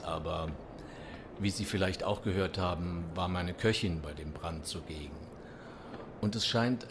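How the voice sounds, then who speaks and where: Man playing a well-to-do 1920s lawyer in a radio play, deep voice, formal and concerned, background ambience. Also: noisy background, natural voice. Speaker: lawyer character in a radio drama. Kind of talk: German audio drama acting.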